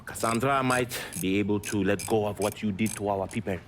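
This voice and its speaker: Haitian smuggler, played by a man: low voice, sardonic, proud, needling, faint background noise. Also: steady tone, a little fast, natural voice. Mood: resentful. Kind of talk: video game cutscene acting.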